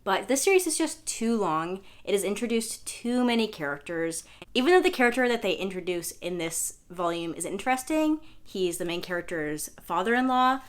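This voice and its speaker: A young woman, a bit hoarse from losing her voice, chatting casually at home to camera about what she has been reading, full of fillers and self-deprecating asides. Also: medium pitch, fairly bright tone. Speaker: manga vlogger. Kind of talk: casual review chat to camera. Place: indoors at home, sitting on the floor.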